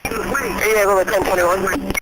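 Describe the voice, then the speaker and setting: A woman's voice: mid-range voice, steady tone, moderate pace. A woman speaking in terse codes and numbers over a police two-way radio. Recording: noisy archived police radio transmission.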